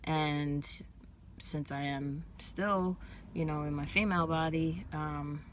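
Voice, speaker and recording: A young transgender man before hormone therapy, with a fairly high voice, chatting excitedly to his viewers in a casual vlog. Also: slight background noise. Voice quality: higher-pitched voice. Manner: excited, fast-talking, chatty with many fillers. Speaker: vlogger.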